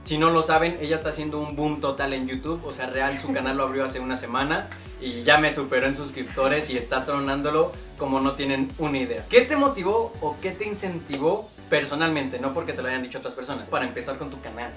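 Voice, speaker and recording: A young man hosts a casual home vlog, talking in a chatty, upbeat way at a middle pitch. His voice carries a roomy echo from the small room. Music plays clearly behind him. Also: brisk. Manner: lively, chatty, asks questions. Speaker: YouTuber host, her editor and manager.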